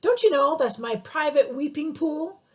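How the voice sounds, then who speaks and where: A woman voicing a gloomy old male rhinoceros who weeps over his yellowed tusk, speaking loudly and mournfully. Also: lively intonation, moderate pace, natural voice. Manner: loud, deep-acted, weepy grumbling.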